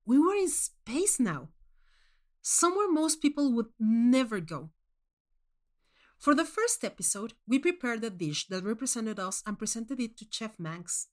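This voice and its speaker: A woman reading a first-person short story aloud as narrator, in an expressive, dramatic manner. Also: on the high side, a little slow.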